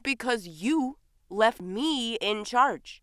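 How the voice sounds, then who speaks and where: A woman's voice: fairly high pitch, lively intonation, extremely slow, a bit bright, dry recording, studio quality, exaggerated voice. A woman acting in an audio drama as a flustered, defensive human man posing as a fairy king, with a theatrical manner. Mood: frantic, exasperated.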